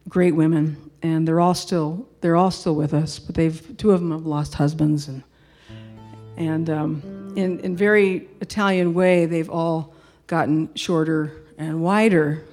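A woman's voice: deep voice, fairly even intonation, a little slow, a little mellow, faint music behind. A middle-aged woman singer-songwriter introducing a song in casual, rambling, reflective stage talk.

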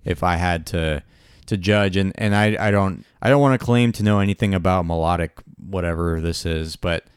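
A man's voice: low-pitched, normal speed, clear, resonant tone, no echo, studio quality. A man chatting casually as a podcast co-host, hesitant and full of restarts, laughing easily. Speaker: podcast co-host reviewing an album. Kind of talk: casual chat.

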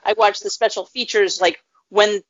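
A woman's voice: medium pitch, fairly even intonation, moderate pace. Chatty woman on a lo-fi podcast. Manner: rambling, restarts, amused. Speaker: podcast co-host. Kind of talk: casual chat.

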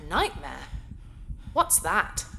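An actress playing a naive, caring artificial intelligence in a science fiction audio drama, speaking with earnest, simple questions. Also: female voice, fairly low pitch, huge pitch swings, very slow, gravelly, sharp tone, slight background noise, full character voice. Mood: worried.

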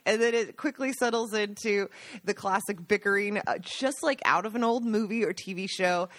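A woman co-hosting a casual podcast, loud and often laughing as she tells stories. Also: mid-range voice, moderate pace, no room sound. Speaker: podcast co-host. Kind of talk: casual chat. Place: home in rural Italy.

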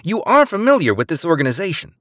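A man's voice: fairly high pitch, very animated, moderate pace, a bit bright, no room sound, studio quality, pitched above the performer's own voice. A man voicing a woman spy who bluffs in an imperious, order-barking tone. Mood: commanding. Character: commanding woman spy bluffing over comms.

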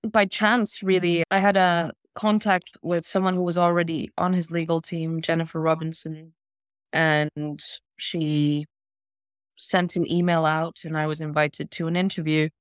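A woman from South Africa, a human rights lawyer, answering interview questions in a measured, thoughtful way with pauses. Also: low voice, level tone, no room sound, studio quality.

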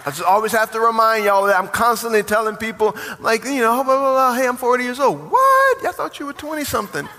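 A man's voice: very high voice, lively intonation, fairly quick, pushed and bright, a little hiss or hum. A man in his forties, a pastor preaching a sermon with rising emphasis and call-and-response. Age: 40s. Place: church sanctuary. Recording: long hall reverb.

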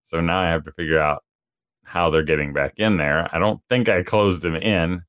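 A low-pitched man co-hosting a casual podcast, spinning everyday stories with easy laughter. He drops into playful quoted voices as he goes. The sound is close and dry, with no room around it. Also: fairly even intonation, normal speed, a bit bright, clear, resonant tone, dry recording. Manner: chatty storyteller, laughs often, acts out quoted voices. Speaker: podcast co-host.

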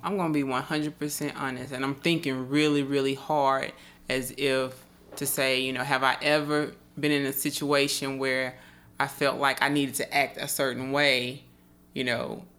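A woman's voice: extremely low pitch, level tone, moderate pace, fairly bright tone. A woman co-hosting a casual podcast conversation, asking reflective questions and often restarting her sentences. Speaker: podcast co-host.

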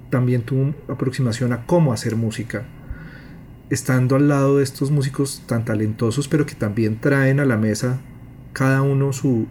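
A man's voice: medium pitch, mellow, slight background noise. A man hosting an interview, asking long, winding questions. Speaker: interviewer and host.

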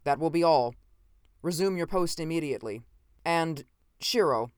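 A man reader voices a male officer in a science fiction audiobook, formal and authoritative. His delivery is clipped, with a smooth, clear tone and a dry sound. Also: on the high side, lively intonation, normal speed, no room sound, close to an everyday voice. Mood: brisk.

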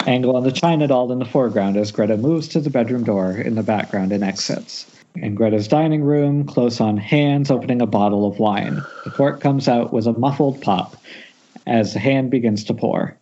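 Mellow man narrating a screenplay. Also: mid-range voice, moderate pace. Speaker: stage-direction narrator. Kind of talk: screenplay narration at amateur table read. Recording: muffled internet call.

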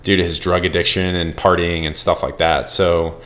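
A low-voiced American man from Boston co-hosting a film podcast. He talks casually and bluntly, with strong opinions and swearing. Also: level tone, normal speed, fairly bright tone, a little hiss or hum. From Boston, United States. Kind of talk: casual chat.

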